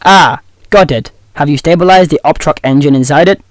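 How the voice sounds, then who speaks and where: A man's voice: fairly high pitch, brisk, dry recording, natural voice. A man playing a matter-of-fact android ally in an audio drama, serious and informative. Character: matter-of-fact male android ally explaining grim events.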